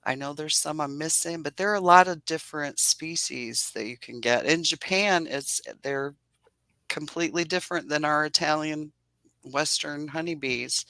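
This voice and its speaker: A woman's voice: low voice, normal speed, sharp tone, dry recording. A woman beekeeper with a soothing voice, explaining patiently and laughing often in a casual interview. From Indiana. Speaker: beekeeper guest.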